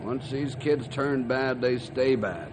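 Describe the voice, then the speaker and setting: An actor playing a cynical, folksy small-town American sheriff with a blunt manner in an old-time western radio drama. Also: male voice, medium pitch, takes it slowly, noisy background, close to an everyday voice. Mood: cynical, insistent.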